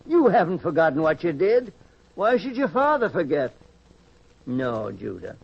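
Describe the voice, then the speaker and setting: An actor playing a world-weary old pig tender, wry and folksy, in the style of an old-time radio drama. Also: male voice, a little high, big pitch swings, measured pace, vocal fry, slightly rough, exaggerated voice. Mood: gruff, dismissive. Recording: dull, lo-fi radio-style recording.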